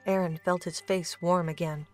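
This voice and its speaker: A woman's voice: lowish voice, steady tone, normal speed, a little mellow, faint music behind. A woman giving scripted third-person narration of a story as the narrator.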